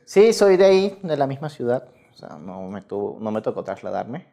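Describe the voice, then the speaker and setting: A man's voice: mid-range voice, sing-song, normal speed, vocal fry, warm tone. A Venezuelan man in his thirties giving casual, rambling interview answers with frequent self-corrections. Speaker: guest researcher in mathematics.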